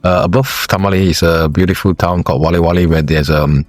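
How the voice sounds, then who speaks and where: A very deep-voiced young man from Singapore talks about his football career, often pausing and restarting. The sound is dry and close, and soft music plays underneath. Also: fairly even intonation, dry recording. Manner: halting, many fillers and restarts. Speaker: interviewee, former footballer. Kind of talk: casual interview answers.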